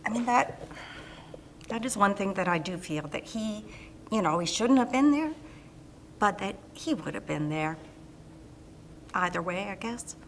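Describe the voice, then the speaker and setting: Halting, grieving mother. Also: mid-range voice, expressive, a little hiss or hum. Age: middle-aged. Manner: halting, soft, searching for words. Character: grieving small-town mother of a soldier.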